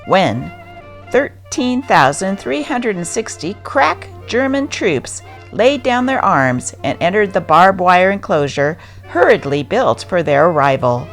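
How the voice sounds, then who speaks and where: A woman reads old newspaper stories aloud as a narrator. Her voice sits a little low and moves up and down with lively intonation, with music playing behind her. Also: measured pace. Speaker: host reading old newspaper articles. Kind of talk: scripted narration, reading news aloud.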